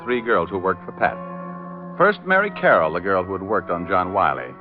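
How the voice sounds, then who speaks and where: An actor playing a wisecracking, breezy private detective, wry and flippant in hardboiled old-time radio narration and dialogue. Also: male voice, low voice, big pitch swings, normal speed. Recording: muffled, narrow vintage radio recording, music.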